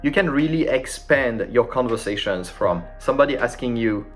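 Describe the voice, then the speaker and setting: A man speaking English as a second language, giving a casual, informal French lesson in an animated way. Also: medium pitch, normal speed, faint music behind. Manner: animated and informal, acting out sample dialogues.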